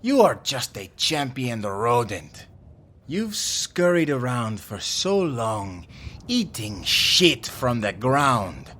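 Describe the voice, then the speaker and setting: A man's voice: mid-range voice, big pitch swings, slow pace, pushed and bright, heavily acted. A man voicing a proud, easily offended vampire mage duellist in an audio roleplay, grandiose, indignant and prone to swearing. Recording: music behind the voice.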